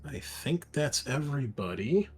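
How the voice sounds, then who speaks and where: A man's voice: mid-range voice, very animated, a little slow. A man working as game master, narrating a tabletop role-playing game in a conversational way and thinking aloud as he makes rulings. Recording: music playing under the voice. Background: game background music.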